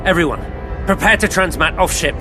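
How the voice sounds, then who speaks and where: A man's voice: sits high, fairly quick, loud music behind the voice, lightly acted. An actor playing a resolute male starship commander giving clipped orders in a sci-fi audio drama. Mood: urgent resolve.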